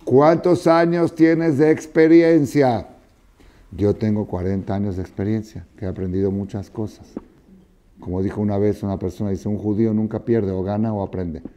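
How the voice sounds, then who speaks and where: Older man, rabbi teaching a class, low pitch, mellow tone, expressive, quizzing listeners, study hall. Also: normal speed. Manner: expressive, questioning listeners, acting out dialogue. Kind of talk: animated Torah lesson with parables. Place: study hall with wooden chairs, indoors.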